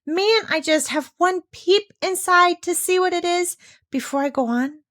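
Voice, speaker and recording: Woman as an excitable high-voiced young girl. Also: measured pace. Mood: pleading. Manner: excitable, pleading. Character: eager, kind-hearted, curious young rich girl.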